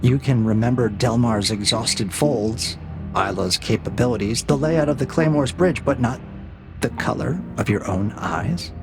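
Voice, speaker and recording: A cold interrogator AI with a male voice, played by an actor, creaky and gravelly at an ordinary male pitch. It speaks in measured, condescending probes with clinical menace, as in a scripted audio drama, with clear music underneath. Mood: cool probing.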